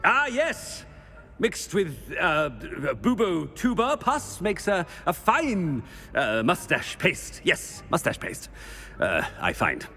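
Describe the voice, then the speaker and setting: A man's voice: high-pitched, very animated, slow pace, pushed and bright, heavily acted. A man playing a haughty, brusque middle-aged wizard headmaster with a British accent in a video game, theatrical and pompous. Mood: flustered.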